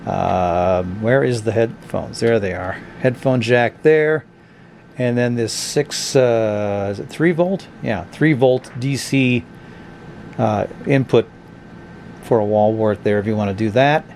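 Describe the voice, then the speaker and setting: A man's voice: fairly low pitch, slow, vocal fry, a little mellow, slight background noise. A man giving a casual, blunt review of a cheap radio, thinking aloud as he tests it. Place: indoors at a tabletop.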